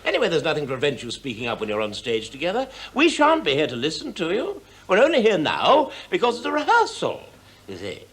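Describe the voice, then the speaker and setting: An actor playing a brisk, bossy, easily amused theatre director in a radio drama, emphatic and condescending. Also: male voice, fairly high pitch, wildly swooping pitch, fairly bright tone. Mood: condescending, insistent.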